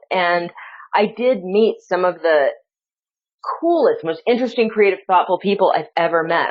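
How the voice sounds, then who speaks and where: A woman law professor answering interview questions in a thoughtful, reflective way, filling her talk with hedges and restarts. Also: mid-range voice. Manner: thoughtful, full of "you know" fillers and restarts. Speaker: law professor and researcher, guest. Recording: dull lo-fi audio.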